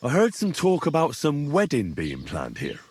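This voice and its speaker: A man's voice: a little high, huge pitch swings, normal speed, darkish tone, slightly rough, heavily acted. A man playing a practical, plain-spoken young commoner adventurer in a video game, direct and down-to-earth. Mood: matter-of-fact.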